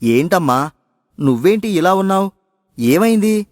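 A man narrator plays a kindly temple priest from a storybook, fatherly and affectionate. The voice is fairly high for a man and dry, with a gentle, reassuring way of talking. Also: expressive, a little slow, no room sound. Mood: concerned. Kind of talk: moral storybook dialogue.

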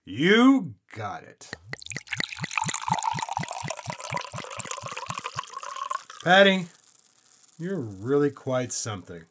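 Audio drama: suave CIA agent played by a man, unhurried, very varied intonation. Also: fairly high pitch, big pitch swings, background noise. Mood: smug, flirtatious. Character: suave, cocky male CIA agent romancing his mistress.

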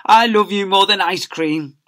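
Man doing a high, sappy little boy. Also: measured pace, pushed and bright. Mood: smug, gushing. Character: sappy, smug little boy, adoring teacher's pet.

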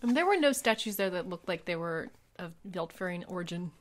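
A woman's voice: lowish voice, animated, brisk. A woman playing a tabletop fantasy game, talking casually in character. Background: background music.

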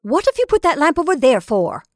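A woman's voice: sits high, sing-song, brisk, no room sound, studio quality, pitched above the performer's own voice. An impatient storybook wife, voiced by a female reader, scolds and insists in a peremptory manner. Her voice is slightly raised and very closely, dryly recorded. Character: impatient, peremptory married woman busy with sewing.

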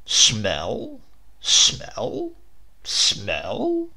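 A man voicing a greying old husband, dreamy and excitable, who enthuses wistfully. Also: mid-range voice, very slow, gravelly, exaggerated voice. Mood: gleeful. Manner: enthusing, wistful, hesitant when caught.

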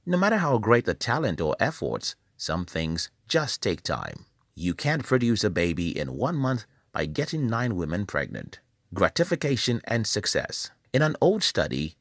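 A man from Nigeria, reading a scripted motivational voice-over in a measured, emphatic, preacherly way. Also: fairly low pitch, no echo, clean studio sound. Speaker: channel host.

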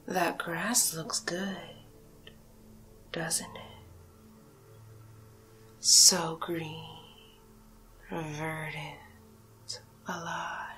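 A woman playing a commanding, coaxing alien cow captor in a breathy, whispered hypnosis ASMR roleplay. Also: low-pitched, steady tone, pushed and bright, slight background noise, full character voice. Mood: hypnotic, commanding. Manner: slow, deliberate, coaxing, commanding. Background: music.

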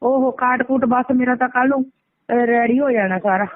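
A woman's voice: a little high, moderate pace. A woman with a Malwa Punjabi accent talking casually and assertively on a phone call, insisting on being heard. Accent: Punjabi, Malwa region. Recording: noisy, tinny phone call recording.

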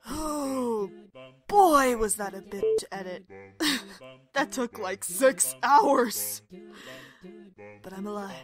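A woman's voice: a little high, animated, slow pace, long pauses. A woman chatting casually to her followers as the creator of an audio drama.

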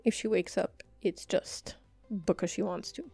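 A woman's voice: medium pitch, steady tone, moderate pace, vocal fry, warm tone, husky, faint music behind. A female podcast co-host talking in a normal voice in casual chat, dry, matter-of-fact and opinionated.

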